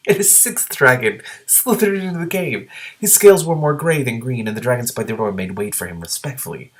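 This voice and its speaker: A man reading a book aloud and breaking in with excitable, sometimes profane reactions. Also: mid-range voice, fairly quick. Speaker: reader and commentator.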